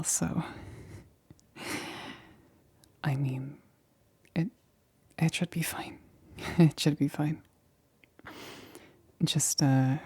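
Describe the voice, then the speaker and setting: A woman playing a nervous scientist in a whispered ASMR roleplay, speaking softly and breathily with hesitant, halting stammers. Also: sits low, slightly rough, heavily acted. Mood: nervous, protective. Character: nervous female scientist examining an alien specimen.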